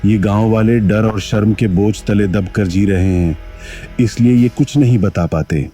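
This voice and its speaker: Man playing low-voiced solemn ghost milkman. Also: unhurried, dark tone, lightly acted. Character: ghost of an honest, wronged village milkman, solemn and grateful. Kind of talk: Hindi cartoon story voice acting. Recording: music behind the voice.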